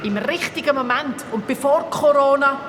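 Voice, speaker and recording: A woman's voice: sits high, moderate pace, a bit bright, slight background noise. A woman voicing a power-hungry female ruler who barks orders, in a Swiss German radio play. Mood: commanding. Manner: barking orders, imperious. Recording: echoey hall reverb.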